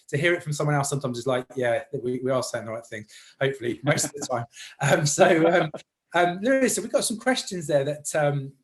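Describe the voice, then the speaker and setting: A man with a medium-pitched voice hosts a casual interview. He talks very fast and informally, with loosely joined phrases. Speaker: host of music industry session.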